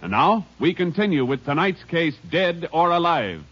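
A man's voice: fairly high pitch, big pitch swings, normal speed, a bit bright, breathy edge. A man announcing a 1950s radio drama in a formal broadcast style. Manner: formal, declamatory. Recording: dull vintage radio broadcast, distant mic.

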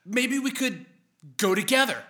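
A woman voicing a plucky, naive boy hero in an earnest, excitable way for a children's comic story. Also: a little high, unhurried. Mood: shy, earnest.

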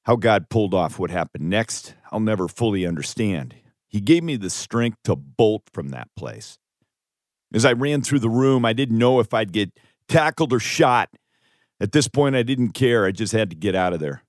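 A man's voice: fairly low pitch, lively intonation, moderate pace, no room sound, clean studio sound. A man reading a scripted first-person audiobook testimony with earnest, dramatic emphasis. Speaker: author reading own audiobook.

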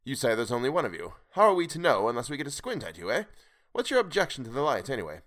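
A man's voice: mid-range voice, big pitch swings, quick pace, fairly bright tone, pitched above the performer's own voice. A man voicing a bold, practical sailor in a sea story, hearty, colloquial and commanding as he talks and calls across the water. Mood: challenging.

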